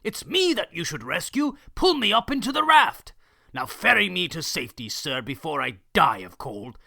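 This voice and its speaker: A man's voice: on the high side, big pitch swings, normal speed, gravelly, bright, forceful tone, no echo, clean studio sound, exaggerated voice. A man voicing a greedy, blustering male circus boss who screams and barks orders in a storybook. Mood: furious.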